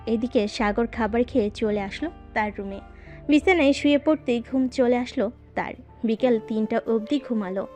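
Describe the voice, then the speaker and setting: A woman's voice: on the high side, a little mellow. A woman narrating a scripted story as its narrator. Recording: voice over background music.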